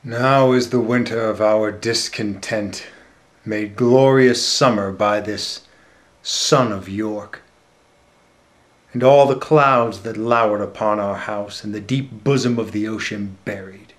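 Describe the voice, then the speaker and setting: A man playing a bitter, scheming, deformed royal villain in a deliberate Shakespearean stage monologue. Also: on the low side, steady tone. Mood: grandly sardonic.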